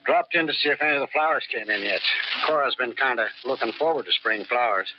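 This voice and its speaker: A man's voice: medium pitch, natural voice. Man playing easygoing farmer dad, muffled radio recording. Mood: easygoing, fond. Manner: folksy, unhurried phrasing. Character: easygoing, devoted small-town farmer and proud father. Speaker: radio drama actor. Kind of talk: old-time radio acting. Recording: muffled old radio recording, faint noise.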